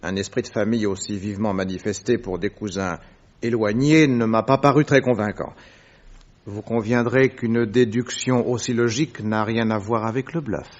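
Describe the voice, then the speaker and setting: A man's voice: on the low side, steady tone, normal speed, natural voice. A man playing a shrewd, commanding detective in a French radio mystery, speaking with precise, methodical, theatrical assertiveness. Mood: cold, accusing. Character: shrewd, commanding male detective laying out an accusation. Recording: dull, lo-fi old radio recording.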